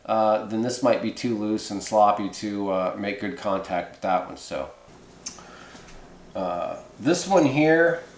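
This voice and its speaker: A man's voice: lowish voice, animated, slow pace. Folksy man explaining, echoey room. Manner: folksy, rambling, colloquial. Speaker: host of a hobby how-to video. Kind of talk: casual hands-on tutorial talk.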